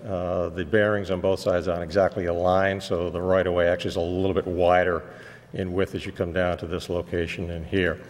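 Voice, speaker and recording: Man, very low voice, gravelly, fighting a cold, steady technical explainer, echoing meeting hall. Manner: measured and explanatory, with frequent "uh" fillers. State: fighting off a cold, losing his voice. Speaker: project engineer presenting to a planning board. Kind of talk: technical presentation. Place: town meeting room.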